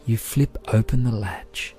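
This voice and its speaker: A man narrating a guided meditation in a gentle, soothing, measured way. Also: medium pitch. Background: music.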